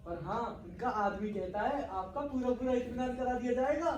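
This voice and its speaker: A man's voice: very high-pitched, normal speed. A man playing an obliging, wheedling broker in a comic stage play, coaxing like a salesman. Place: theatre stage, distant microphone. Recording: very noisy, echoey, distant stage recording.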